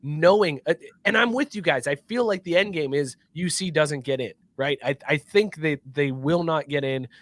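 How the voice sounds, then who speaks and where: A man's voice: on the high side, moderate pace, few pauses, dry recording. A man hosting a sports show in a casual, chatty style.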